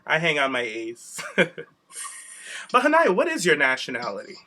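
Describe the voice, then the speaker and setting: A Rhode Island-accented man hosts a podcast interview with a bright, ringing voice set a little higher than most men's. His talk is animated and campy, full of playful slang and teasing. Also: normal speed. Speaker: podcast host. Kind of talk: casual podcast chat.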